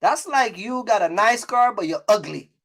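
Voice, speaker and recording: High-pitched man in casual vlog talk. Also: lively intonation, normal speed, a bit bright. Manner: repetitive, emphatic, colloquial, chuckles at own points. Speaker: YouTube host, trucking business creator. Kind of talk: casual opinionated vlog monologue.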